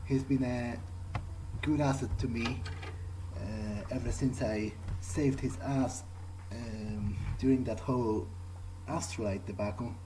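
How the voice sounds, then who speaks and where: A man improvising an in-character video game playthrough, speaking haltingly as he thinks aloud. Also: medium pitch, noisy background.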